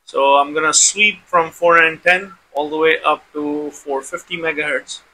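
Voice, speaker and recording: A man with a very bright, sharp, slightly high voice walks through a software demonstration. He explains informally, step by step, circling back over his phrases. The recording has faint background noise. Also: steady tone, moderate pace, low-quality recording. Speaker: tutorial host.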